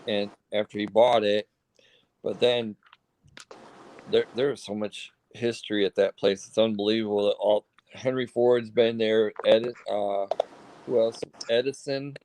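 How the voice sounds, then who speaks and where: Low-voiced man rambling with restarts. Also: steady tone, unhurried, darkish tone, slight background noise, poor audio. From Michigan. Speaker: host. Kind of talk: casual group video chat.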